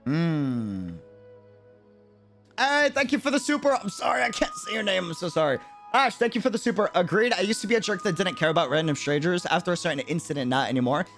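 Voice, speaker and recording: High-pitched, animated male livestreamer. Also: brisk, gravelly, soft background music. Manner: conversational, earnest, explaining by examples. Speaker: game livestreamer. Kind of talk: casual livestream commentary.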